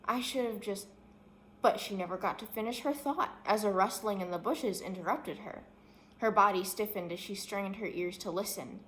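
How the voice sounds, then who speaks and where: A woman's voice: medium pitch, normal speed, fairly bright tone, rough recording. A woman reading a children's story aloud as scripted narration. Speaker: story reader. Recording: faint background noise.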